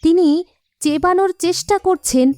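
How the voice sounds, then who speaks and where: A woman's voice: extremely high pitch, normal speed, darkish tone. A woman narrating a scripted children's cartoon story.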